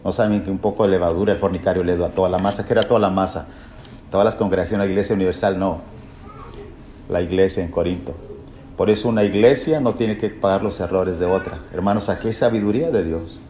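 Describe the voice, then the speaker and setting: A man's voice: low-pitched, quick pace, mellow. Male preacher, didactic, rhetorical questions, repeated key phrases, echoey room, faint background noise. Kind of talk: Bible lesson sermon with rhetorical questions.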